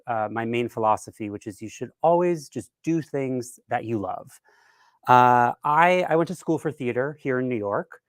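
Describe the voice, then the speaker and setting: A man's voice: mid-range voice, clear, resonant tone, no echo, clean studio sound. A man giving a keynote talk in a conversational, reflective way, explaining his ideas informally. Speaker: keynote speaker, writer-director.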